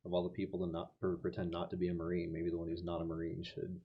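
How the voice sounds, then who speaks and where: A man's voice: low-pitched, completely flat delivery, quick pace, lo-fi sound, slight background noise. A man playing a tabletop roleplaying game, chatting casually with the other players. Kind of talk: casual group game chat.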